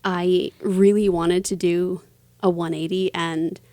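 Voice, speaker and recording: A woman's voice: fairly low pitch, flat delivery, takes it slowly, warm tone, dry recording, clean studio sound. A woman author speaking casually as a guest in an interview.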